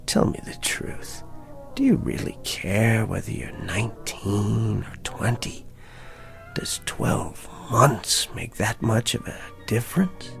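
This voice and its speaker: A smooth-talking schemer in a tv drama, low crackly voice, slow deliberate pitch, faint music behind. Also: male voice, a bit bright, full character voice. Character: calculating, quietly persuasive man bargaining in years of life. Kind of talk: television drama acting.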